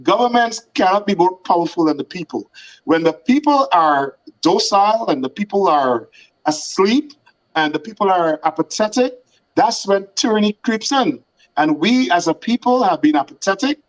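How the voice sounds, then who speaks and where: A man appearing as a talk show guest, arguing a political point in a measured, deliberate, speech-like way. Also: high-pitched, animated, rough recording.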